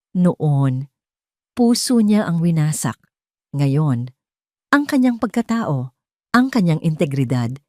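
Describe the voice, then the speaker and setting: A woman with a Filipino accent giving a dramatic scripted story narration in solemn, deliberate phrases. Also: mid-range voice, a little mellow, dry recording, studio quality.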